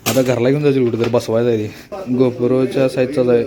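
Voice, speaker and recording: A man's voice: mid-range voice, level tone, moderate pace, background noise. A man chatting casually as a vlog host, mixing in English technical words. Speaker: motovlog host. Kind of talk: casual vlog chat and product explanation.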